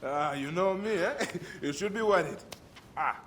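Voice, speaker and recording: An actor playing a grim, vengeful Medjay warrior in a video game cutscene, terse and grave with dry teasing. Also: male voice, sits high, a little slow. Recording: long reverb, steady background noise.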